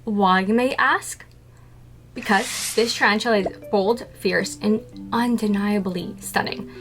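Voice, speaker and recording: A woman vlogs in a casual, playful way, asking herself questions and then answering them with a grin in her voice. Her pitch sits in a middle range. Clear music plays under her the whole time. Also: a little slow, a bit bright. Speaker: tarantula keeper vlogger. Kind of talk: casual scripted talk to camera.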